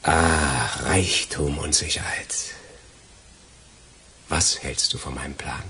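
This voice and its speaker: An actor playing a cold-blooded, mocking crook with a husky, rough voice in a crime radio drama. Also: male voice, rumbling low, fairly even intonation, takes it slowly, bright, forceful tone, slight background noise, heavily acted. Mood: cold, gloating. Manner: mocking, theatrical delivery. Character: cold-blooded, gloating male crook betraying his friend.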